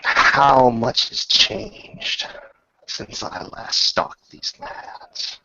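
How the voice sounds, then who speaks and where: A man voicing an ancient, fanged undead warrior lord who speaks in slow, formal, archaic phrases with dramatic pauses, in a tabletop game. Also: mid-range voice, big pitch swings, creaky, sharp tone, no echo, voice pushed up, exaggerated voice. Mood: brooding menace. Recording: dull lo-fi recording.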